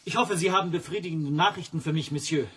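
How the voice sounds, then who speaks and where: Radio play role: evasive hotel guest, highish husky voice, polite, hesitant, defensive. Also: male voice, fast talker, a bit bright. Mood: anxious politeness. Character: guarded, uneasy hotel guest hiding something, politely evasive.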